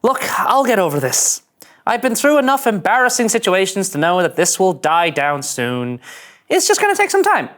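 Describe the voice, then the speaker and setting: A woman's voice: mid-range voice, big pitch swings, moderate pace, natural voice. A woman playing an earnest, easily flustered young male starship ensign in a melodramatic sci-fi script reading. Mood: solemn, resolved. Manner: melodramatic, indignant monologuing. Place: studio.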